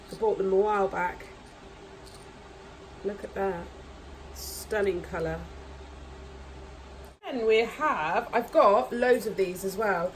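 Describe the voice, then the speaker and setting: A woman's voice: on the high side, a little hiss or hum, rough recording. A woman with hay fever, chatting casually and gushing as she unboxes nail products at home. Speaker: nail vlogger. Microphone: camera mic, voice off camera.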